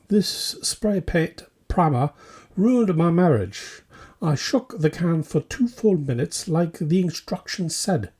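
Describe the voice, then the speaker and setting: A man's voice: fairly high pitch, a little mellow, husky. A man hosting a comedy podcast, reading scripted mock news in a theatrical, tongue-in-cheek way with punchy short phrases.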